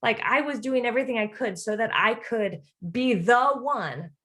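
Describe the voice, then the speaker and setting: A woman's voice: fairly high pitch, moderate pace. A woman podcast host chatting casually about faith and self-help, acting out imagined quotes as she talks. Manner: animated, acts out imagined quotes.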